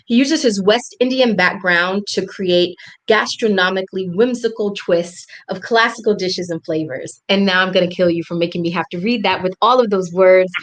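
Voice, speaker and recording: A woman's voice: mid-range voice, a bit bright. Woman host, young adult, earnest, reflective, video call. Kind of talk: hosting a live video interview. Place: indoor room at home.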